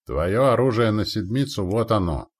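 A man voicing a gruff, impatient gun crew commander who barks orders and grumbles in an audiobook. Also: on the low side, expressive, normal speed, pitched above the performer's own voice.

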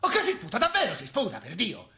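Radio theatre: hot-tempered, repetitive Tuscan ranter, male, high and very animated. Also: fast talker, vocal fry, heavily acted. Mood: furious. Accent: Tuscan Italian. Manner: outraged, repetitive, sputtering. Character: indignant, disgusted, hot-tempered ranting man. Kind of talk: theatrical radio drama dialogue.

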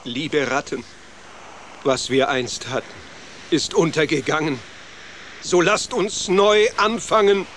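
A man's voice: fairly high pitch, slow, long pauses, fairly bright tone, a little hiss or hum. A man voicing a solemn, sentimental male rat in a children's radio play.

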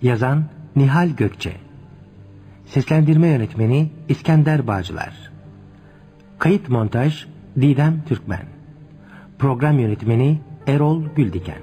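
A man's voice: mid-range voice, expressive, a little slow, pauses often, mellow, husky. A man reading scripted production credits as a radio drama announcer. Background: music.

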